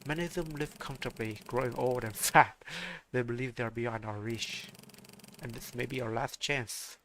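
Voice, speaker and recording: A mysterious, grave caller, read aloud by a man who speaks in solemn, measured phrases. The voice is gravelly and sits at an ordinary middle pitch. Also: fairly even intonation, fairly bright tone, slight background noise, close to an everyday voice. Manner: solemn, measured, reading game dialogue aloud.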